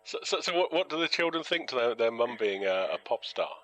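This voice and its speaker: A man's voice: medium pitch, sing-song, brisk, fairly bright tone, rough recording. A British man interviewing casually on the radio, repeating words as he forms his questions.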